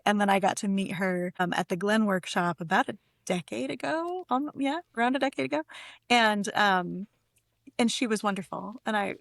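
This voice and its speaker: A woman's voice: medium pitch, no room sound. Woman poet in a dry, close recording. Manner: effusive, conversational. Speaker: guest poet and editor. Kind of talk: casual literary conversation with poem reading.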